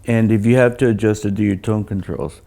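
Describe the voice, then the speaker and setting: An older man speaks in a low, gravelly voice with a mellow tone. He talks like a seasoned engineer in casual conversation, halting and doubling back on words as he tells his anecdotes. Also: steady tone. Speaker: loudspeaker designer.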